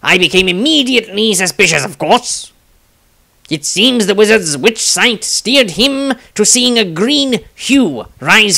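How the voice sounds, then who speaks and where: A man's voice: sits high, gravelly, pushed and bright, pitched above the performer's own voice, exaggerated voice. A man voicing an earnest, suspicious male adventurer who recounts grim events in a fantasy diary, dramatically. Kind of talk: fantasy storybook diary reading.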